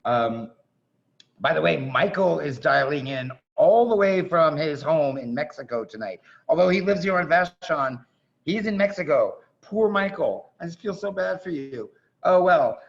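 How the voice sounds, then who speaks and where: A man hosting a staged reading over a video call, making effusive, chatty, informal introductions in a gravelly voice. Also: on the high side, animated, unhurried. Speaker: host of a staged reading event. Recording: dull, muffled video-call audio.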